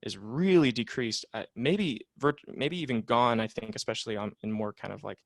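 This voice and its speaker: A man in his late twenties talks things through in a relaxed interview, circling back with fillers and restarts. His voice carries a gravelly fry. The sound is dry and close, with no room around it. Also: mid-range voice, moderate pace, dry recording. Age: 20s. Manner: reflective, many fillers and restarts. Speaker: psychotherapist guest. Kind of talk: casual conversational interview.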